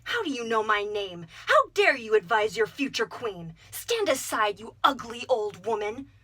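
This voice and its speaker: A woman voicing a greedy, haughty young woman who talks down to others in a sharp, commanding tone. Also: a little high, big pitch swings, unhurried, exaggerated voice. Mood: indignant.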